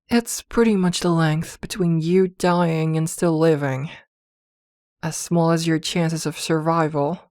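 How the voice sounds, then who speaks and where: Woman acting as protective witch girlfriend, lowish voice, very slow pace, soft coaxing murmur, tender yet vengeful, ASMR roleplay. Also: dry recording, studio quality. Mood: teasing, tender.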